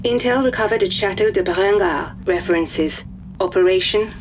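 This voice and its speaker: A woman's voice: mid-range voice, normal speed, slight background noise, natural voice. An actress playing a female intelligence operator who delivers clipped, businesslike updates.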